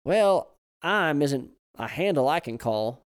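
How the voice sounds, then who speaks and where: A man voicing a grizzled old man who is gruff but kindly, with a gravelly voice. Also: fairly high pitch, lively intonation, slow pace, pitched above the performer's own voice.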